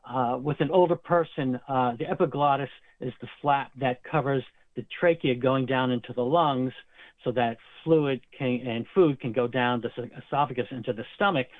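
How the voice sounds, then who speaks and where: A man's voice: mid-range voice, no echo. Man on a tinny phone line. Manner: explains medical terms at length. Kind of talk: interview explanation.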